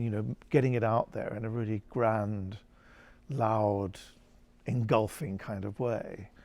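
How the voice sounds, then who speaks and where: A man with a gravelly voice speaks reflectively in an interview, thoughtful and halting as he searches for his words. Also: medium pitch, a little mellow, dry recording.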